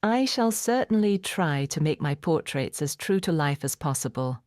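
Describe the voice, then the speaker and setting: A woman narrating a children's storybook in a measured, clearly phrased, expressive bedtime-story manner. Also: sits low, no echo, clean studio sound. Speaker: audiobook narrator.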